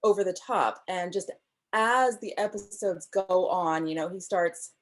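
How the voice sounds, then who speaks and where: A woman's voice: fairly low pitch, measured pace. A woman in her thirties, a novelist guest, explaining her ideas on writing with enthusiasm and frequent ums over a video call from a room at home. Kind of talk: casual interview chat.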